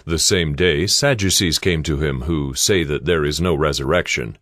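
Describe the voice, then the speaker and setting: A man narrating a dramatized Bible story in a solemn, measured, scripted style. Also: extremely low pitch, fairly bright tone, dry recording, studio quality.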